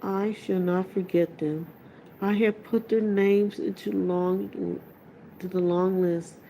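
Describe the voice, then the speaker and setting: A woman voicing an ancient male tree creature in a storybook, ponderous and hesitant, humming as he deliberates. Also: mid-range voice, fairly even intonation, warm tone, slight background noise, low-quality recording. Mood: fond, solemn.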